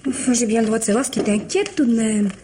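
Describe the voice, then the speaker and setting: An actress playing an anxious, reproachful wife in a French radio drama, speaking insistently and full of questions. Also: female voice, on the high side, fast talker, mellow, a little hiss or hum, natural voice. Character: anxious, reproachful wife probing her secretive husband.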